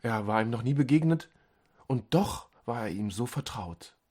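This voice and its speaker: A man narrating a scripted short story as an audiobook, dramatically and with deliberate pauses. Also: mid-range voice, animated, darkish tone, husky.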